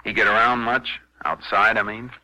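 An actor playing a calm, shrewd Texas Ranger investigator in a 1950s radio western, clipped, deliberate and matter-of-fact. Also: male voice, fairly low pitch, no room sound. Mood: measured, probing. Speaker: lead actor in radio drama. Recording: noisy vintage 1950s radio recording.

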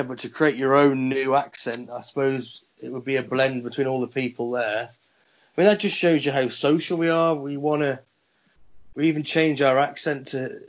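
Deadpan man reading odd facts. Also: mid-range voice. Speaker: podcast co-host. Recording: dull lo-fi sound.

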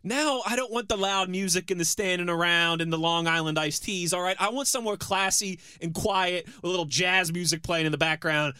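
A teasing co-host in his early thirties, telling a laid-back anecdote on a sports talk show. His voice is bright and sits a little high. It is recorded dry and close. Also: a little fast, few pauses, clean tone, no echo. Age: 30s. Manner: teasing, chatty anecdote-teller. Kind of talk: casual sports radio banter, storytelling.

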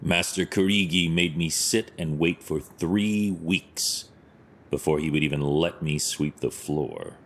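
An actor playing a stern, brooding masked crime-fighter with a terse, measured, solemn delivery in an audio drama. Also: male voice, very deep voice, a little hiss or hum. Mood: calm, resolute.

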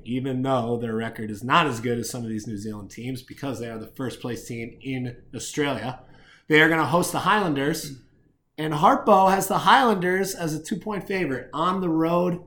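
A man's voice: mid-range voice, fairly bright tone. A man hosting a rugby picks show, giving commentary and predictions in an opinionated, slangy way.

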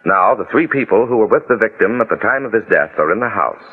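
Husky, solemn radio narrator. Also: male voice, on the low side, expressive, fast talker. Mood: solemn, suspenseful. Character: solemn, dramatic male radio announcer. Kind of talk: scripted 1940s radio narration. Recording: dull band-limited old 1940s radio recording. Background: faint music.

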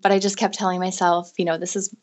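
Brief: soft-spoken woman in her thirties, low and flat delivery, earnest guest recounting her start. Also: fast. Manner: earnest, conversational storytelling with fillers. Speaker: guest entrepreneur. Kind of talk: interview guest.

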